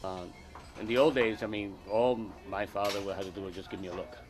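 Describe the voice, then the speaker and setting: A man's voice: fairly low pitch, fast talker. A man from New York City giving a casual, hesitant interview answer.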